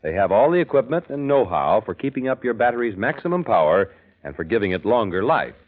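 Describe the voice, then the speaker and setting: Animated American male announcer, muffled old radio. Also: fairly low pitch, fast talker. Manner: polished, emphatic sales delivery. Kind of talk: 1940s-50s scripted radio announcing and commercial. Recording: muffled vintage radio broadcast.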